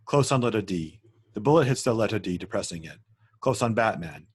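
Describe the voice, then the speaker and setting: A man's voice: fairly low pitch, expressive, fairly quick, darkish tone, husky. A man narrating a screenplay at a table read, reading out scene headings and action as written. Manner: stumbles and corrects himself while reading. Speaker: narrator reading stage directions.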